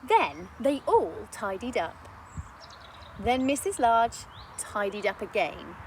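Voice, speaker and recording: Female storybook reader, slow and very animated, faint background noise. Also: medium pitch. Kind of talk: expressive children's story narration.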